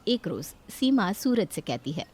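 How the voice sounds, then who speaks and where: A woman's voice: fairly low pitch, very animated, moderate pace, darkish tone, husky. A woman narrator reading a scripted moral story.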